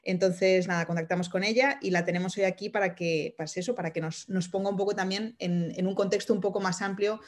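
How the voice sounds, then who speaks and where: A woman hosts an online session over a video call. She introduces the guest haltingly, stumbling and repeating herself as she goes. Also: mid-range voice, steady tone. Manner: hesitant, many restarts and fillers. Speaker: event host. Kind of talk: introducing a speaker.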